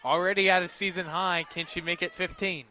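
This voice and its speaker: A male radio announcer calls a live softball game pitch by pitch. His voice sits a little higher than most men's. Clear outdoor ballfield noise runs behind him. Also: level tone, fairly quick. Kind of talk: live softball play-by-play commentary. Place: outdoors at a ballfield, on a platform by tables. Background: loud outdoor ambience.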